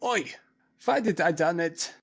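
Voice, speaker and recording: A man's voice: fairly high pitch, lively intonation, unhurried, vocal fry, breathy edge, voice pushed up, exaggerated voice. A man voicing a terrified, whining man with a heavy foreign accent who wails in panic. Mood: panicked. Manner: wailing, panicky.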